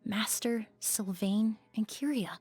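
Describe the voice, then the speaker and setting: A woman voicing a composed, empathetic young woman in scripted video game dialogue, speaking in a measured, articulate, soothing way. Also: mid-range voice, darkish tone, breathy edge, soft background music. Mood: calm, reflective. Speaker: game character voice.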